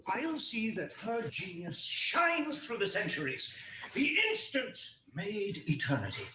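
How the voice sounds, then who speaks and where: An actor playing a suave older male immortal mentor who speaks in smooth, grandiose, flattering tones in a TV drama. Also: high-pitched, measured pace, slight background noise, full character voice. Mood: seductive. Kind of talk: TV drama acting.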